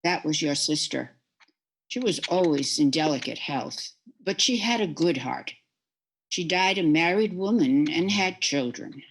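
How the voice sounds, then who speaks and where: A woman voicing a calm, questioning spirit in an amateur radio play read over a video call. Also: deep voice, unhurried, breathy edge, heavily acted. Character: gentle questioning spirit, female.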